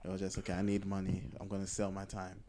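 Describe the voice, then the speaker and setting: A man's voice: low voice, completely flat delivery, fast talker, a crowd in the background. A man co-hosting a casual podcast, explaining his thoughts reflectively and correcting himself as he goes. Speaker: podcast co-host. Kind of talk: casual conversational podcast chat.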